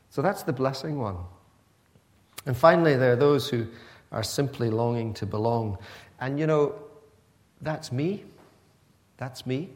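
Earnest man preaching in a reverberant hall. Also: medium pitch. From Pakistan. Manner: earnest, conversational, with frequent restarts. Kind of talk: sermon.

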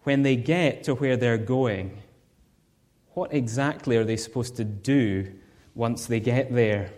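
A married Scottish man giving a sermon as a guest preacher, earnest and measured, with rhetorical questions. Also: medium pitch, darkish tone. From Scotland.

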